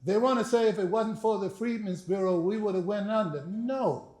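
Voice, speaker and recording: An older man giving a speech to a live audience, first a dramatic reading and then a relaxed chat, laughing. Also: normal speed. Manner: booming oratory with rising emphasis; chatty, laughing, folksy asides. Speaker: speaker and author. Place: library event hall. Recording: echoey room.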